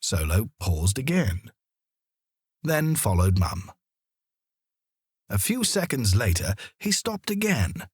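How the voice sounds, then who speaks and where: A man narrates a children's story at an unhurried pace. His voice sits a little low but moves up and down a lot, with deliberate, expressive phrasing. The sound is dry, with no room to it. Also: breathy edge, no echo. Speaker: audiobook narrator.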